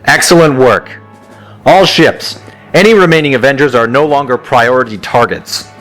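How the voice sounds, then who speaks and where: A man's voice: mid-range voice, normal speed, fairly bright tone, faint music behind, close to an everyday voice, poor audio. An actor playing a stern male squadron colonel who gives clipped orders to his fighters in a fan audio drama. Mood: commanding.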